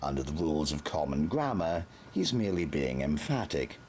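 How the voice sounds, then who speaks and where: A discreet, pedantic army captain who corrects his superior in a low, dry murmur, as a man narrator plays him. His voice stays near the narrator's deep register. An audiobook character over a faint hiss. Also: animated, normal speed, a little mellow.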